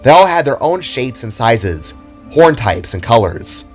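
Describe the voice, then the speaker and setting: A man's voice: medium pitch, big pitch swings, a little slow. A man reading scripted story narration as the narrator of an audio drama. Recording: background music behind the voice.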